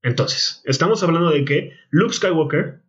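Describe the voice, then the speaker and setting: A young man with a Mexican Spanish accent, co-hosting a podcast in casual chat, animated and slangy, often restarting his sentences. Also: a little high, moderate pace. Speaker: podcast co-host. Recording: dull lo-fi podcast audio.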